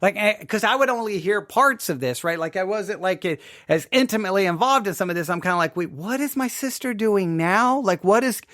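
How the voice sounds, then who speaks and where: Higher-voiced man, halting and candid. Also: lively intonation, a bit bright, no room sound, clean studio sound. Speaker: podcast host. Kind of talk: informal personal commentary.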